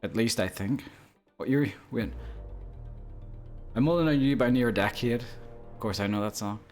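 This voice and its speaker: A man's voice: mid-range voice, normal speed, a little mellow, soft background music. A man in his twenties chatting casually with viewers on a live stream, rambling and joking at his own expense. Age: 20s. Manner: rambling, joking, self-deprecating, laughs often.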